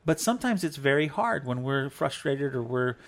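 A man's voice: medium pitch, lively intonation, moderate pace. Playful rambling man with a mid voice. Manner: playful, rambling, teacherly, counts off points. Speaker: co-host, devotional talk. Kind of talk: casual faith chat with his wife.

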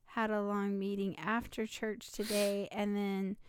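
A middle-aged woman co-hosting a relaxed home podcast. Her voice carries a slight rasp but stays smooth and clear, and the sound is dry and close. She talks in a chatty, rambling way and laughs a lot. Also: medium pitch, unhurried, dry recording. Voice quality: slightly raspy. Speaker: podcast co-host. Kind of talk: casual chat.

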